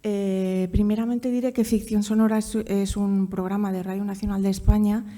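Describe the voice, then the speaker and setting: A woman professor giving an academic presentation, halting as she searches for words. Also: mid-range voice, level tone, dark tone. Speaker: university professor, conference speaker. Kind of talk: academic presentation, loosely scripted.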